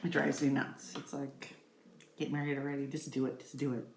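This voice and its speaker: A woman's voice: a bass voice, flat delivery, fairly quick, a little hiss or hum. Chatty middle-aged woman in an echoey room. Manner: playful, rambling, talks directly to viewers. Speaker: makeup vlogger. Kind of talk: casual chatty makeup tutorial. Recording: echoey room with faint music.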